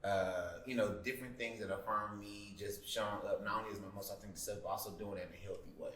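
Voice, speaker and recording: A man sharing personal reflections in a group discussion, thoughtful and measured, with pauses. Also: lowish voice, steady tone, a bit bright. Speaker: panelist. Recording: echoey room, distant mic, faint noise.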